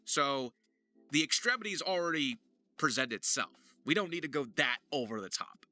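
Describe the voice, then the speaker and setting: A man hosts a casual tutorial in a very bright, forceful voice that moves up and down a lot. He is emphatic and jokey, happy to poke fun at himself, with music playing underneath. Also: on the high side, big pitch swings, normal speed. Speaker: voice actor hosting a tutorial.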